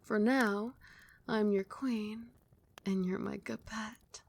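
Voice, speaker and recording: ASMR casting: a young woman as a tender, possessive vampire queen, soft soothing whisper, close mic. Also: mid-range voice, steady tone, slow, creaky. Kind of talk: ASMR roleplay.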